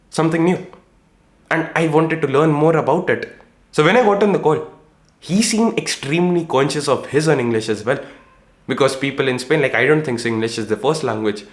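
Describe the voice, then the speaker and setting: Excitable young Indian guy, slangy and echoey. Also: medium pitch. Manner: excited, slangy, swears a lot, lots of "like" and "bro". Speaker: startup founder. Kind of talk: casual vlog talk to camera.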